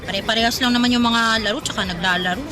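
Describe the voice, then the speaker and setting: A woman's voice: on the high side, fast talker, lightly acted. An actress playing a bored young woman who grumbles and complains, in radio drama style. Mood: weary. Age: young adult. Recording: music and crowd noise behind.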